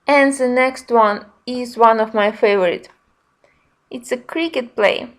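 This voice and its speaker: A woman giving a casual review narration in simple, slightly halting non-native phrasing. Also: fairly high pitch. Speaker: colouring book reviewer.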